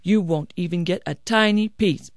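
A woman voicing a stubborn, sly older father-in-law in an animated storybook telling. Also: mid-range voice, unhurried, dry recording, studio quality, natural voice.

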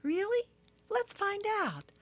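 A woman voicing a small female turtle with a high, insistent voice. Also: wildly swooping pitch, slow, no room sound, heavily acted. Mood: coaxing. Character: small female turtle, polite then angry.

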